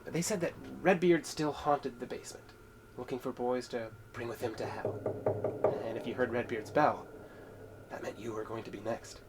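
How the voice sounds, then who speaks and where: A man's voice: mid-range voice, fairly even intonation, moderate pace, noisy background, close to an everyday voice. An actor playing a resentful, unhinged young man in a horror audio drama, with an accusatory, menacing manner. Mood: eerie, storytelling.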